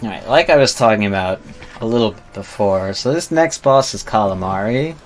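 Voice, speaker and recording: A man's voice: on the low side, expressive, fairly quick. A man giving casual, explanatory live commentary as he streams a video game speedrun. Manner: explanatory, self-deprecating. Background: faint game music.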